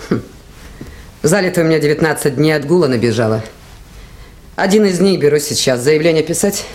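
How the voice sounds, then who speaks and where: A woman's voice: low voice, expressive, brisk, darkish tone. An actress playing a stubborn, plain-spoken river woman in a radio play, with a blunt, dry, defiant delivery. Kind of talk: 1980s radio play acting. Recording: faintly noisy 1980s radio drama recording.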